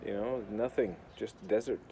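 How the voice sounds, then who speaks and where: A man from Portugal with a Portuguese accent rambles casually as a video host, with a deadpan, sardonic, sweary manner. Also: on the low side, moderate pace. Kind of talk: casual rambling talk to camera. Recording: clear outdoor urban construction noise. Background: noise of building works.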